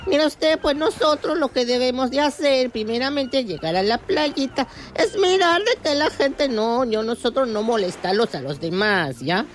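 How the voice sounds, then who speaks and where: A woman's voice: sits high, lively intonation, normal speed, few pauses, over music. A woman playing a sugary Colombian beach coach. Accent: Colombian Spanish, put on. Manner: sweet, fussy, full of diminutives. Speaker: comedy guest character. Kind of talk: comic radio sketch.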